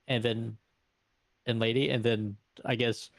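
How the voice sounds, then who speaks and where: A man's voice: mid-range voice, little pitch movement, a little slow, dry recording. A man playing a tabletop roleplay, chatting casually and hesitantly, often repeating himself as he thinks. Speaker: tabletop game player.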